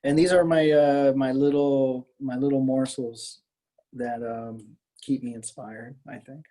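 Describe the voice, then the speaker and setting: A man's voice: mid-range voice, steady tone, measured pace, mellow, low-quality recording. A middle-aged American man from the Miami area talking casually as an artist being interviewed, in a hesitant, rambling way with repeated words. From Miami area, Florida, US.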